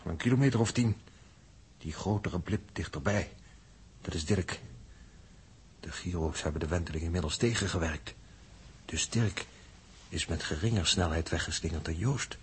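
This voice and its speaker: An actor playing a practical, level-headed crewmate astronaut, matter-of-fact and reproving, in a noisy vintage radio drama. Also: male voice, moderate pace, pauses often, close to an everyday voice. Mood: sober, practical. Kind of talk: 1960s radio drama acting. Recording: vintage radio recording with rumbling hiss.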